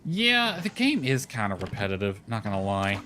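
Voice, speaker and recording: A man's voice: lowish voice, wildly swooping pitch, moderate pace, background noise. A man giving casual, rambling livestream commentary as he plays a game, thinking aloud as he goes. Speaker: game streamer. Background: background music.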